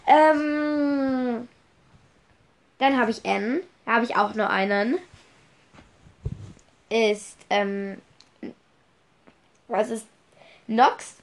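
A woman's voice: on the high side, fairly even intonation, extremely slow, long pauses. A young woman with a cold, chatting casually on her solo podcast and rambling through her notes, spelling words out letter by letter. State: stuffy nose from a cold. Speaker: podcast host.

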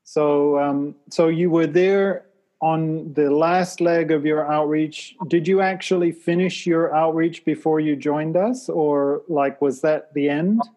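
A man's voice: a little high, fairly even intonation, slow pace, mellow. A man in his late fifties hosting a podcast, asking questions in a chatty, hesitant way and laughing easily. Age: 50s. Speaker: podcast host. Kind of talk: casual interview chat.

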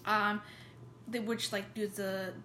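A woman's voice: medium pitch, level tone, takes it slowly, sharp tone, a little hiss or hum. Casual woman presenting in an echoey room. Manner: hesitant, many fillers and restarts.

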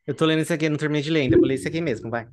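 A man's voice: fairly high pitch, racing, over a noisy crowd. A Brazilian man co-hosting a casual podcast, reading stories aloud and dropping slangy, campy asides. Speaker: podcast co-host.

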